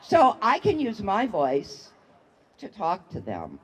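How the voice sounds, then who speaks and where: A woman human rights activist giving a slow, solemn rally speech into a microphone outdoors, building to shouted calls to the crowd. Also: mid-range voice, huge pitch swings, noisy background. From United States. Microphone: public address microphone.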